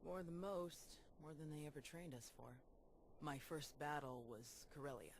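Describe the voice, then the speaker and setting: Low-voiced, blunt female Jedi fighter. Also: moderate pace. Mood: grim, reflective. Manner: blunt, world-weary, irreverent. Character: tough, cynical female Jedi fighter and security chief. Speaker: head of security. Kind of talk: video game voice acting. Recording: game dialogue over clear background ambience noise.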